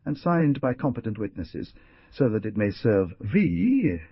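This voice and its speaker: A man voicing a calm, kindly hunter who explains things in a measured way. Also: on the low side, husky, dry recording, heavily acted. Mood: explaining. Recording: dull lo-fi recording.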